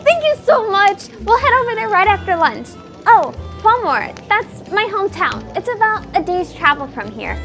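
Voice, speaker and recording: Casting: actress as bubbly fantasy townswoman, very high youthful voice, chatty delivery, music underneath. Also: big pitch swings, moderate pace, fairly bright tone, heavily acted. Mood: excited. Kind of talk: fantasy audio drama roleplay.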